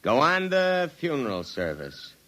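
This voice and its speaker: An actor playing a surly, wisecracking hearse driver in a 1950s radio drama. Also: male voice, medium pitch, huge pitch swings, a little slow, pushed and bright, exaggerated voice. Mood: sarcastic. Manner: sarcastic, gruff.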